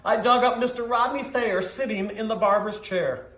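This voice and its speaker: A man voicing a big, eager, clumsy dog telling his own story in an expressive, rhythmic storybook read-aloud with a roomy echo. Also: sits high, normal speed, pitched above the performer's own voice. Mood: excited, proud. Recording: echoey, roomy sound.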